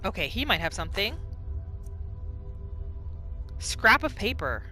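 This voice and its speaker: A woman hosting a gaming video, giving casual playthrough commentary in an expressive, exclamatory way. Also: mid-range voice, a little slow. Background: game music.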